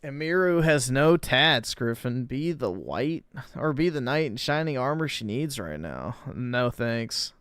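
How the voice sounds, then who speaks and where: A man streaming and reacting to clips, talking in a blunt, crude, sarcastic and deadpan way. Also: medium pitch, a little slow, clear, resonant tone, no room sound, clean studio sound. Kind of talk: casual stream commentary.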